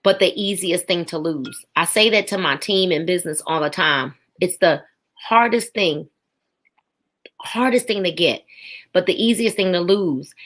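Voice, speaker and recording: A woman's voice: on the low side, normal speed, fairly bright tone. A woman in her forties giving a faith testimony as a podcast guest, emphatic, with a preaching rhythm and repeated phrases. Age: 40s.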